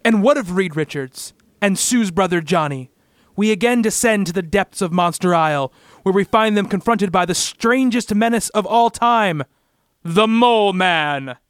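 A man's voice: sits high, moderate pace, fairly bright tone, dry recording. A man narrating a comic-book radio play in a melodramatic, suspenseful scripted style. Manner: dramatic, suspenseful.

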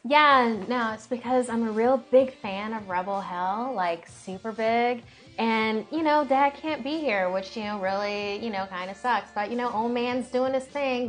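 A woman's voice: on the high side, a bit bright. A woman voicing a brash young female rock drummer who rambles with lots of "you know". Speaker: player.

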